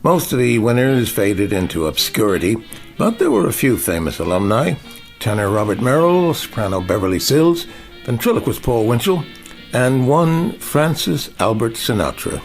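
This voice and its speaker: An older man, a veteran broadcaster, reading a scripted radio feature in a measured, polished way. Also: mid-range voice, sing-song, soft background music.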